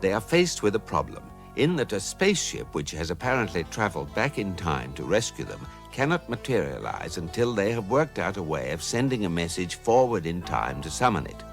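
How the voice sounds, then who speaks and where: Deep, drily ironic radio drama narrator. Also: male voice, faint music behind. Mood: dry, formal. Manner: formal, deadpan, measured. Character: urbane male guidebook narrator, calm, drily ironic. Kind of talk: scripted narration in a comic sci-fi radio drama.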